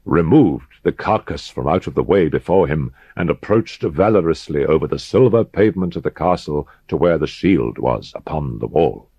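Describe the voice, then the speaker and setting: Male audiobook narrator, low-pitched and husky, grave and measured, dramatic gothic horror reading. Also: mellow, no echo. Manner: measured, grave, deliberate pauses for emphasis.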